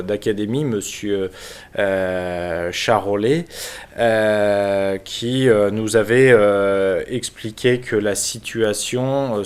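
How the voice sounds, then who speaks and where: A man from France who is a local mayor, giving an unscripted explanation in a halting, hesitant manner with frequent pauses. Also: fairly low pitch, smooth. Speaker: village mayor and local councillor.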